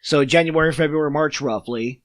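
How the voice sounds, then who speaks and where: A man's voice: on the high side, normal speed, dry recording. A man with a smoker's voice hosting a casual gaming podcast, crude and sarcastic. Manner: crude, sarcastic, riffs and laughs. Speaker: podcast host.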